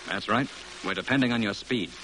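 Casting: a stern, emphatic officer, tense low-pitched delivery, classic radio drama. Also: male voice, fairly quick, sharp tone. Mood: somber. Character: grave, forceful military officer briefing a captain. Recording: faintly noisy old radio recording.

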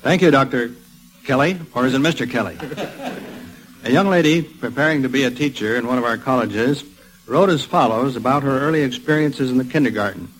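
A man, a professor, giving a formal, measured prepared address on an old radio show. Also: mid-range voice, poor audio. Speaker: professor, guest speaker.

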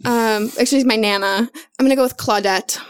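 A woman with a slightly high voice chats casually as a player in a tabletop roleplaying session. Her delivery is playful and informal. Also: quick pace, clean tone. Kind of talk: casual chat during tabletop game character creation.

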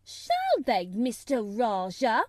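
A woman's voice: high-pitched, animated, moderate pace, mellow, no room sound, pitched above the performer's own voice. A woman voicing a sour, obstinate young girl who retorts fiercely, as in a storybook reading. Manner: defiant, retorting. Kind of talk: audiobook character voice.

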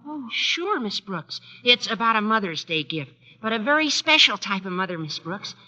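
High, squeaky, eager teenage boy. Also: very animated, moderate pace, sharp tone, full character voice. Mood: earnest. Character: eager, bouncy, cracking-voiced teenage schoolboy. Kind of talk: 1940s radio sitcom acting. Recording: dull, reverberant vintage radio recording.

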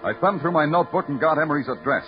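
A man's voice: a little high, very fast, close to an everyday voice. An actor playing a hardboiled male police lieutenant who narrates his case in a terse, wry, world-weary way. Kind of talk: hardboiled first-person radio narration. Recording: muffled old radio recording with music.

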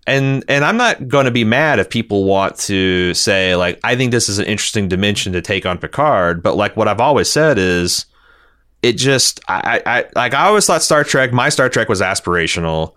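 A man hosting a podcast, discussing television casually in a halting, rambling way and often repeating words as he reasons. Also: lowish voice, lively intonation, sharp tone. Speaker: podcast host reading listener feedback.

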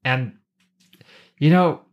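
Mid-pitched podcast co-host with wry delivery. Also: very slow, muffled recording. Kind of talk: casual pop-culture discussion.

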